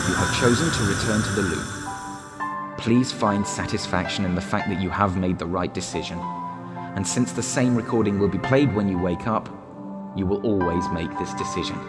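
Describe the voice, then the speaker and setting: An actor playing a disembodied recorded guide in a one-act drama, speaking in a measured, deliberate, persuasive way. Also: male voice, sits low, close to an everyday voice. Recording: long hall reverb, loud music behind.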